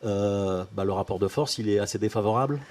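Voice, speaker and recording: A man hosting a TV interview, asking measured questions with frequent fillers. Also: fairly low pitch, a little mellow. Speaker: TV host.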